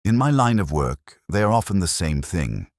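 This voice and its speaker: A man playing a melancholy, literary police detective, recounting a case in a measured, solemn, reflective way as scripted audio mystery narration. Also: deep voice, sing-song, dry recording, studio quality, heavily acted. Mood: brooding, reflective.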